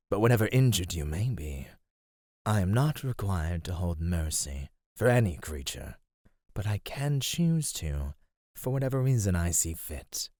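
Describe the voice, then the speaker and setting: A man playing an ancient underground monster in an ASMR roleplay, speaking in a measured, menacing, teasing way with formal wording. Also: low voice, darkish tone, no room sound, clean studio sound, heavily acted. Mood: coolly amused. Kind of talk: ASMR roleplay, slow intimate scripted acting.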